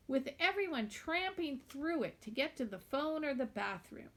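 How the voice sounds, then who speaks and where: Higher-voiced woman reading a storybook aloud. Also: fairly bright tone. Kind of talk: expressive audiobook narration in a young girl's first person.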